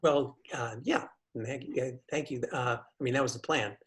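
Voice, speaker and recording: A man's voice: mid-range voice, normal speed, slight background noise, lightly acted. A man playing a pompous, thin-skinned professor in a web comedy, theatrical and stammering when challenged. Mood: flustered. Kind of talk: scripted comedy acting on a video call.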